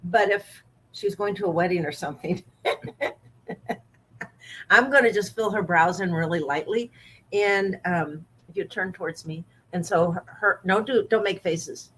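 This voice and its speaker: A woman's voice: on the low side, unhurried, slightly rough, rough recording. Chatty, giggly makeup artist woman. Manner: chatty, giggly, gently bossy. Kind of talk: casual makeup demonstration.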